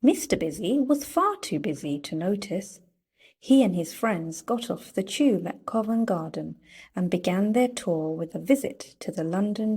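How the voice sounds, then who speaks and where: A woman reading a children's story aloud in a playful, deliberate storytelling manner. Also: lowish voice, warm tone, breathy edge. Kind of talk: read-aloud storybook narration.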